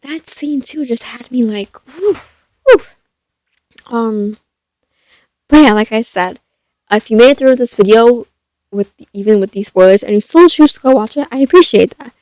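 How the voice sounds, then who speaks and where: A young woman with a soft voice chatting casually about a film, rambling with lots of fillers and restarts. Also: fairly high pitch, expressive, moderate pace, pauses often, no echo, rough recording. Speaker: vlogger reviewing a film. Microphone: stand mic.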